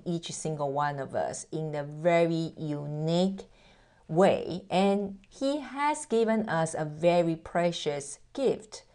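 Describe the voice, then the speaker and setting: A woman from Taiwan speaks with a mixed accent rooted in Taiwanese Mandarin. Her delivery is earnest and encouraging, set at an unhurried, deliberate pace. Her voice sits slightly low for a woman. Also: darkish tone. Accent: mixed, Taiwanese Mandarin-based with American and British traces. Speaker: business coach and podcaster. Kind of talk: motivational vlog address.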